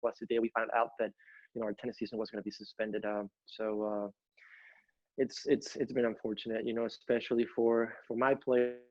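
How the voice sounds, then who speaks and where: A man's voice: mid-range voice, level tone, fairly quick, no echo, highs cut off. A young man from Ecuador, a college tennis coach, answering interview questions from home, speaking earnestly with many fillers and restarts. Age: young adult. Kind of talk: interview over video call.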